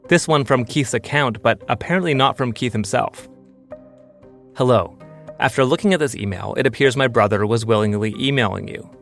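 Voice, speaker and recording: A man hosting an investigative podcast, calmly narrating a scripted documentary story. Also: medium pitch, gravelly. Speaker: podcast host and investigative reporter.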